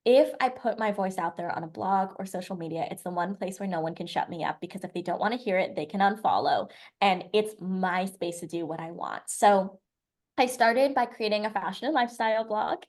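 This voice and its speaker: A woman in her twenties from the United States, a podcast guest telling her life story in a chatty, run-on way. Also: mid-range voice. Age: 20s. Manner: chatty, lively storytelling with long run-on sentences. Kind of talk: casual storytelling interview.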